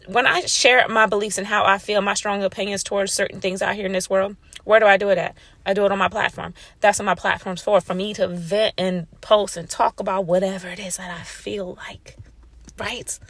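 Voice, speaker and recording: A woman's voice: mid-range voice, steady tone, brisk, dry recording. A woman talking casually and emphatically to her audience, repeating herself to make her point, with features. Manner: assertive, emphatic, repeats "right?" and "you know". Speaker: vlogger. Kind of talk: casual monologue to camera.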